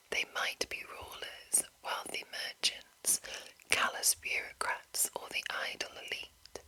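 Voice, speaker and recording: A woman speaking in an unvoiced whisper, hushed and deliberate, as an ASMR host reading aloud from a game book. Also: rough recording. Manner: hushed, slow, deliberate enunciation.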